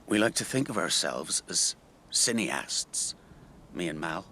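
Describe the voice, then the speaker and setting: Low-voiced, reflective rural man. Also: big pitch swings, pushed and bright, slight background noise, full character voice. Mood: nostalgic, halting. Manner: halting, reflective, conversational storytelling. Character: rueful rural man recalling an old friend's tragedy. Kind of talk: naturalistic radio drama monologue.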